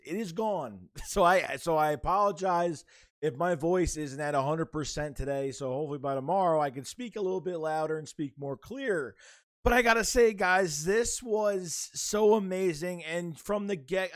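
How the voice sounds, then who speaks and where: Hoarse, excited young man. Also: on the high side, moderate pace. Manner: excited, rambling with fillers and restarts. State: hoarse, voice nearly gone. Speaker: sports vlogger. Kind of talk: casual sports talk to camera.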